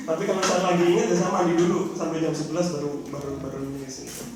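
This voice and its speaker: A man's voice: a little high, fairly even intonation, brisk. A man from Indonesia speaking at a writing seminar, telling his story with many fillers and self-deprecating jokes. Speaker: guest speaker, novelist. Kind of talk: casual talk at a seminar. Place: seminar room. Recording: echoey room, distant mic, noisy.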